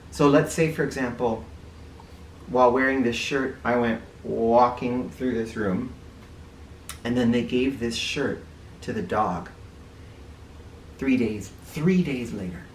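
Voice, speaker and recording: A man reads aloud to children in a roomy space, a little far from the mic. His delivery is very lively in pitch and playful, with explanations and questions aimed at young listeners. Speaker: children's book reader and teacher. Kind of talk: animated read-aloud with asides for children. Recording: roomy, distant mic, faint noise.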